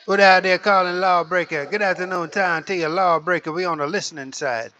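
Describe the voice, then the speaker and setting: A man's voice: sits high, steady tone, fairly quick, very noisy. A man working a CB radio as operator, trading slangy chatter in a showy, rhythmic patter full of stock catchphrases. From Jamaica. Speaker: CB radio operator taking calls. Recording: dull, lo-fi CB radio audio.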